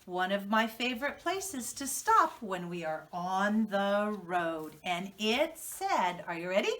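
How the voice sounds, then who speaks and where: Female preschool teacher, American, unhurried and highly animated storytelling for little learners, heard slightly away from the mic. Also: recorded from a distance, a little hiss or hum. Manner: instructional, question-and-prompt delivery for children. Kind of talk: reading lesson and storytelling for young children.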